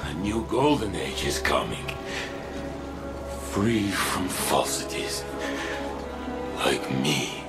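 An actor playing an anguished robot warrior who doubts his own existence, speaking slowly and solemnly with a gravelly voice. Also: sits low, lively intonation, heavily acted. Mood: desolate. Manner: slow, halting, solemn. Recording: music behind the voice.

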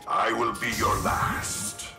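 An actor voicing a hulking, menacing, arrogant masked villain in a video game, making grandiose threats. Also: male voice, on the low side, flat delivery. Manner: slow, menacing, grandiose declarations. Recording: loud music behind, echoing sound.

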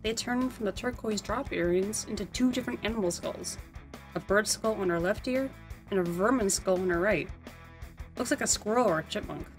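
A woman's voice: medium pitch, normal speed, soft background music. A woman co-hosting a scripted commentary on a cartoon, in conversational banter. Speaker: co-host.

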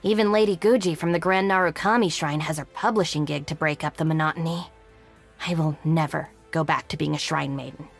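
A woman's voice: deep voice, steady tone, brisk, vocal fry, breathy edge, heavily acted. A woman playing a capable, composed young deputy gang leader in a video game, speaking in a dry, self-assured, matter-of-fact way. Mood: firm. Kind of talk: scripted game character monologue. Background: background music.

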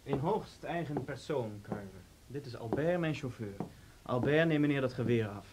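An actor in a Dutch radio play voicing a suave, talkative police officer with an urbane, polite manner and sly menace. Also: male voice, medium pitch, expressive, moderate pace, noisy background, lightly acted. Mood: genial, dry.

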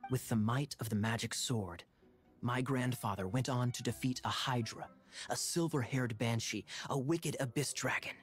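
A man voicing a sly young knight captain who speaks in a smooth, theatrical, playfully mocking way. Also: medium pitch, normal speed, faint music behind, lightly acted. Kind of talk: game cutscene dialogue.

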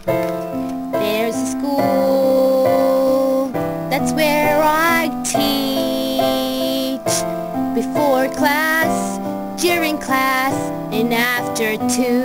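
A woman playing a bitter kindergarten teacher, singing a comic musical song in drawn-out phrases. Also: high voice, fairly even intonation. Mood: bitter, gleeful. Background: piano music.

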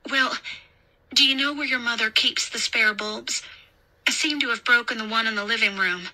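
A woman's voice: on the high side, moderate pace, pushed and bright, poor audio. A woman voicing a young female babysitter in an audiobook story, flustered but soothing and reassuring. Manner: reassuring, urgent.

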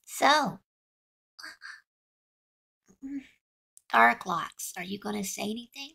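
Woman acting a husky, hesitant cartoon teen. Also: medium pitch, normal speed, pushed and bright, exaggerated voice. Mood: teasing. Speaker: amateur voice performer. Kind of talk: fan-made cartoon audio drama acting.

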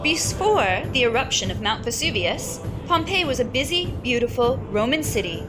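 High-voiced woman reading aloud over loud music. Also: big pitch swings. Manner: measured, deliberate phrasing with pauses. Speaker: read-aloud teacher and storyteller. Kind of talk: expressive read-aloud of a children's picture book. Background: music behind the voice.

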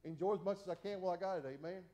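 A man's voice: sits high, very fast, dark tone. A man in his forties preaching at a Bible study from the pulpit of a small church, folksy, with many restarts and repeated amens. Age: 40s. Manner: folksy, repetitive, stammering restarts, frequent "amen". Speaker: pastor.

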